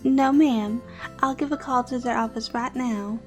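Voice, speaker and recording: A woman's voice: fairly high pitch, steady tone, a little slow, darkish tone, faint music behind, natural voice. A woman playing a deferential, efficient office receptionist in an audio roleplay, answering her boss politely. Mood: polite, dutiful.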